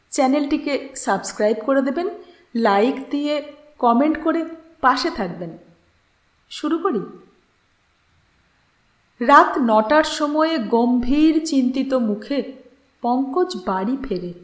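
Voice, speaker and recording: Female audiobook narrator, very animated intonation, unhurried delivery, literary reading. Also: high-pitched. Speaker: channel host and story narrator. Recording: roomy, echoing voice recording.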